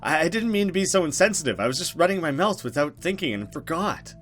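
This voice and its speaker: A man's voice: a little high, sing-song, fast, fairly bright tone, faint music behind, voice pushed up. A man voicing a cheeky, blunt young woman, a chatty friend in a visual novel. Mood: apologetic. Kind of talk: visual novel dialogue read aloud.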